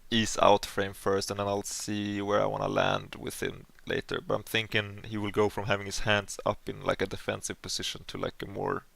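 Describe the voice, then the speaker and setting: Gravelly, low-voiced Swedish-accented man thinking aloud. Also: completely flat delivery, a little fast, few pauses, a bit bright, no echo. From Sweden. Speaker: animation streamer. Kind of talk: casual livestream, thinking aloud while working.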